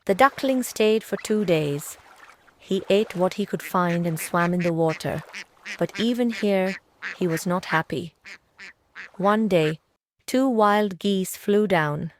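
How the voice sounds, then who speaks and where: A woman's voice: medium pitch, slow. A woman storyteller reading a scripted children's tale.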